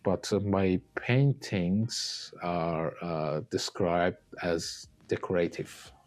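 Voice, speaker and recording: Slow, deep-voiced Iranian man, hesitant. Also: a little mellow. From Iran. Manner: hesitant, pausing with many uh fillers. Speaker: artist guest. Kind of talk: casual interview chat.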